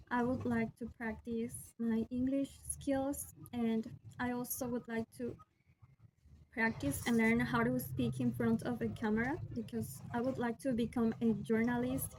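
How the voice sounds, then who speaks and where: A young woman from Peru, a Spanish speaker with a Peruvian accent, talking to her camera in careful English with many pauses and restarts. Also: on the high side, a little hiss or hum, low-quality recording. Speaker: vlogger. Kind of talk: casual talk to camera.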